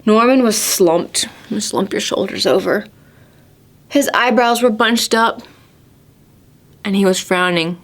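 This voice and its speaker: A woman presenting storytime to preschoolers, asking simple questions and stressing key words deliberately. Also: mid-range voice. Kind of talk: storybook reading and talking to young children.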